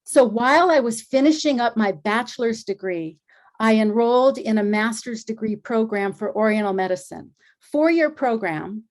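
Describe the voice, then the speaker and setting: Animated middle-aged woman telling a story. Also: on the high side, normal speed. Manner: animated storyteller acting out quoted dialogue. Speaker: energy healer and acupuncturist. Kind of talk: relaxed storytelling interview.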